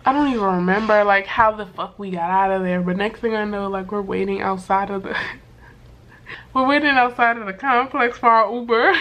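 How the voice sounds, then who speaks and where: A young woman with a voice worn hoarse from sickness, telling a story to camera in a loose, slangy way full of fillers and swearing. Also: mid-range voice, steady tone, normal speed, a bit bright. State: hoarse from being sick. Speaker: vlogger. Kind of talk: casual storytime chat to camera.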